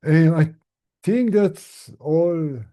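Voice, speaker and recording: A man hosting a casual online group session as a coach, explaining things in a gentle manner. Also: a little high, drawn-out words, muffled recording. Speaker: host and tinnitus relief coach.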